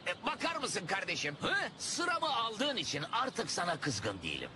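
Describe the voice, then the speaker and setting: A man's voice: high-pitched, wildly swooping pitch, normal speed, background noise. A man voicing a short-tempered animal dad in a cartoon, with an exasperated, complaining manner. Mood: restrained. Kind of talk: animated cartoon comedy acting. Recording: loud music behind the voice.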